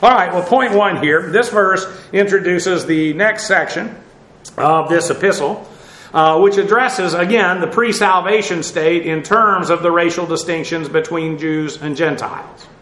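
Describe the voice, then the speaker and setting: A man with a notably high voice teaches through a sermon verse by verse, pausing often on fillers and restarting his phrases. He is recorded in a reverberant church hall. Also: a bit bright, a little hiss or hum. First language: English. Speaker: pastor. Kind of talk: expository sermon. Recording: echoey room.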